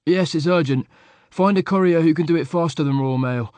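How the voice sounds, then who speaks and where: A man voicing a tense, prickly young man who talks in a clipped, impatient way, raised above his narrating pitch and a bit louder. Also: a little fast, smooth, dry recording, close to an everyday voice.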